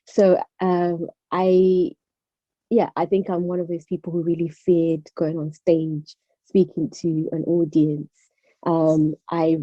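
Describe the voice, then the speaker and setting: A woman's voice: fairly low pitch, dry recording. A young woman from Zimbabwe, raised partly in the UK, giving a casual motivational talk as an online guest speaker. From born in Zimbabwe, moved to the UK aged about nine. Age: young adult. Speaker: guest speaker, former pageant contestant. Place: online video call. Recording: dull, dry lo-fi call audio.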